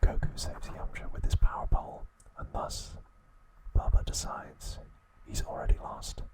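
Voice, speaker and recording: Whispering man narrating softly for ASMR. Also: normal speed, low-quality recording. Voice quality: breathy unvoiced whisper. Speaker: host of an ASMR trivia channel.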